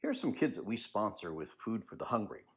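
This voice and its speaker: Older male storyteller, husky tone, hugely varied pitch, expressive and unhurried delivery. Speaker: children's book author reading aloud. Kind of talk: personal commentary and storybook reading.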